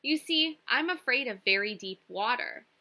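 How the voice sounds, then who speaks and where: A sheepish storybook duck, put on by a woman, confides in a high, emphatic voice. The delivery is bright and swoops up and down. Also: animated, moderate pace. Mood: sheepish, confiding. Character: sheepish duck confessing a fear.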